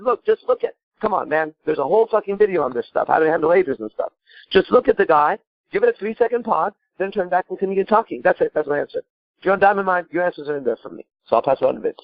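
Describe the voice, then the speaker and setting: A man with a fairly high voice coaches a caller in an emphatic, repetitive way, leaning on rhetorical questions and blunt language. The sound is very dry and a little dull, like a lo-fi live talk show. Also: dry recording. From Iran. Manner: emphatic, profane, repeats himself, asks rhetorical questions. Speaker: podcast host and coach. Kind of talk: live call-in advice, improvised coaching.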